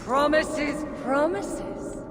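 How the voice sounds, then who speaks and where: A slow, haughty villainess, high-voiced. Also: female voice. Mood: gleeful contempt. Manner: drawn-out taunting. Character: haughty, menacing villainous stepmother, mocking and sly. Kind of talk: video game cutscene acting. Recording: long echoey reverb, clear background noise.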